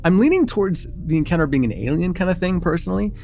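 Male actor as young vampire, awkward, eager, rambling, lively intonation, creaky edges, intimate ASMR roleplay. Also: sits high, fast, soft background music. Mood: chatty, playful. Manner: rambling, nervous, stumbling over words. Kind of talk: intimate ASMR roleplay, one-sided conversation.

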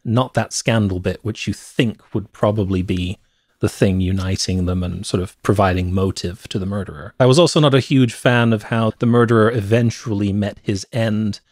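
A middle-aged man co-hosting a movie podcast, explaining his opinions in a measured, conversational way into a close microphone. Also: lowish voice, darkish tone, no echo. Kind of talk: conversational film review. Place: small cluttered home room. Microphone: close podcast microphone, headset.

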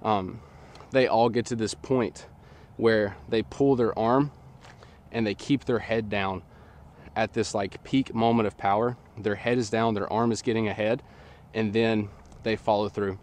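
A young man in his twenties, slightly nasal from a sinus infection, explaining a sports technique in a relaxed, chatty way outdoors, recorded by a camera at a distance in an open field. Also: fairly low pitch, a little slow, slight background noise. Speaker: disc golf coach. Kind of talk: casual instructional talk. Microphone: camera on a tripod at a distance.

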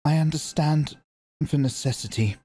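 A man reading aloud and voicing a proud young mother who quietly holds back tears, with a strained manner. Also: on the high side, moderate pace, slightly rough, pitched above the performer's own voice.

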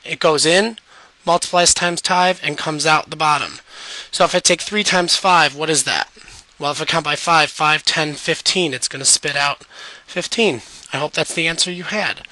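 A man walks young students through a math lesson, patient and step by step. His voice is worn and fading, yet it sits high and sounds bright. The recording is dry, with no room sound. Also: no echo, poor audio. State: losing his voice. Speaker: math teacher.

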